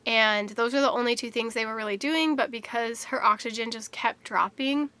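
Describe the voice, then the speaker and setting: A woman's voice: on the high side, normal speed, sharp tone, no echo. A woman, a mother of three, chatting candidly to camera in a casual vlog, slightly congested and nasal, laughing often.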